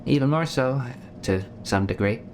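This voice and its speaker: A man's voice: mid-range voice, expressive, normal speed, slight background noise, lightly acted. A man playing an anxious, deferential scientist in a sci-fi audio drama, earnest and hesitant. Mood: apologetic. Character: anxious, deferential scientist devoted to alien artifacts.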